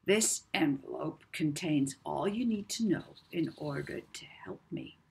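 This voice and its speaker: Woman as male blackmailer, children's audiobook: very breathy, unhurried, lazy menace, soft-spoken. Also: low-pitched, lively intonation, exaggerated voice. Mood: sly, amused. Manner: soft-spoken, lazy drawl with deliberate pauses. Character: sinister, manipulative male blackmailer, smooth and menacing.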